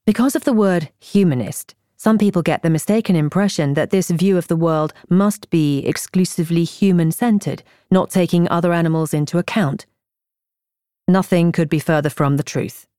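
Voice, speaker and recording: A woman reading a nonfiction audiobook in a measured, articulate way, as a scripted narrator. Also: fairly low pitch, mellow, no room sound, clean studio sound.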